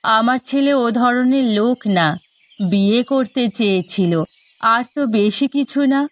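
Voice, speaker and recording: A woman's voice: a little high, a little slow, natural voice. A woman playing a proud older village widow. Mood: wounded pride. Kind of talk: cartoon dialogue.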